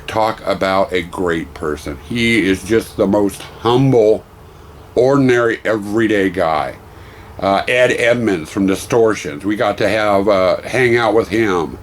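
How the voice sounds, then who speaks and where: A middle-aged man vlogging casually about a weekend convention. He has a hoarse voice from losing it, and he rambles warmly, full of thanks. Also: on the low side, a little slow, a little hiss or hum. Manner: rambling, effusive, grateful. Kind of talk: casual vlog talk.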